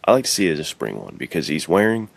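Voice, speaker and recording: A man's voice: extremely low pitch, very animated, racing, vocal fry, dry recording. A man co-hosting a podcast in casual, slangy chat, often saying "dude" and "like". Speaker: podcast co-host.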